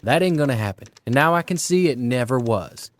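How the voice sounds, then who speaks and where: An actor playing a contrite, persuasive suitor in an audio drama, plain-spoken and conciliatory. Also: male voice, medium pitch, animated, brisk, close to an everyday voice. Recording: music behind the voice.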